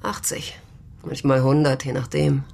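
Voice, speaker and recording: An actress in a German radio drama playing a tough, guarded prostitute who talks curtly and bluntly. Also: female voice, extremely low pitch, level tone, unhurried, gravelly, darkish tone, exaggerated voice. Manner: curt, wary, blunt. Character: tough, guarded red-haired brothel prostitute, streetwise and proud.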